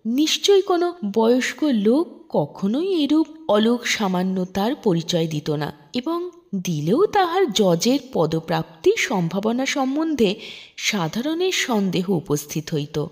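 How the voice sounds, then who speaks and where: A woman narrating a literary story in a measured, expressive, formal manner. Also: fairly high pitch. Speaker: storyteller reading a literary short story aloud. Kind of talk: scripted narration, expressive audiobook reading.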